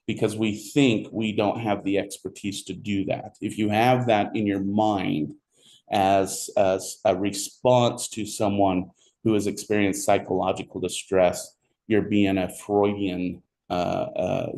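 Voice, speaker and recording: A man giving a psychology lecture, rambling with many fillers and restarts, his voice squeaky today. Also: darkish tone. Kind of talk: classroom lecture. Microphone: recorded for online video.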